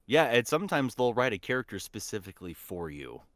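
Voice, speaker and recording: A man's voice: medium pitch, big pitch swings, fairly quick, fairly bright tone, no echo, studio quality. A man talking as a guest voice actor in a casual podcast chat, with an enthusiastic, informal way of speaking.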